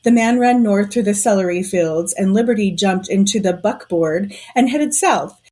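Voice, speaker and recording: A woman playing a shrewd, suspicious conspirator in a period audio drama, telling things in a knowing, deliberate way. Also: medium pitch, animated, dark tone, lightly acted.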